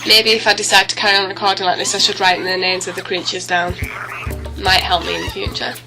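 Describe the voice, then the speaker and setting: A woman hosts a let's play in a noticeably creaky voice, still getting over a cold. Her commentary is casual and rambling, apologetic and self-correcting. Music plays clearly behind her. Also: lowish voice, little pitch movement, fast, poor audio. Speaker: gaming video host.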